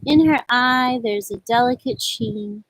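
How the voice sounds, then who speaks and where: A woman with a husky voice hosting a storytime for young children, speaking gently and in simple phrases as she reads and chats outdoors. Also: on the high side, a little slow, slight background noise, rough recording. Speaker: children's storytime host at a community farm. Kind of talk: storybook read-aloud and casual chat to children. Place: outdoors at a farm.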